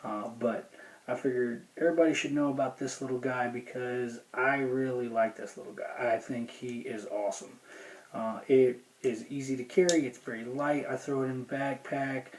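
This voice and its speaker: A man's voice: medium pitch, steady tone, moderate pace. A man giving a casual, unscripted product review in a plainspoken, folksy, rambling way. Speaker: gear review video host.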